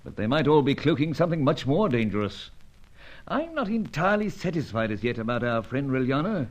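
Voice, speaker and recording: An actor playing a fussy, avuncular older British gentleman who runs a spy service, in a vintage radio serial. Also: mid-range voice, sing-song, a little fast. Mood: probing, avuncular. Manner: blustering, avuncular old-boy phrasing.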